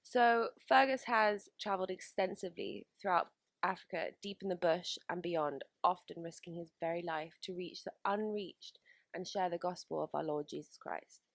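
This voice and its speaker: Creaky-voiced woman hosting. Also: fairly low pitch, moderate pace, no room sound. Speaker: radio show host. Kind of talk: introducing and interviewing a guest.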